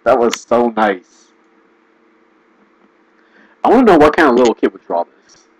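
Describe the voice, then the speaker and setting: A man giving casual, rambling commentary, restarting sentences and laughing often, his voice going hoarse. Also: medium pitch, very animated, measured pace, dry recording, low-quality recording. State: losing his voice. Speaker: video commentator.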